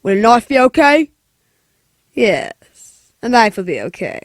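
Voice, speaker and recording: A woman voicing a calm, businesslike male hired killer in a 1940s-style radio play, with a flat, matter-of-fact delivery. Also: a little high, unhurried, no room sound, studio quality. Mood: cold, calm.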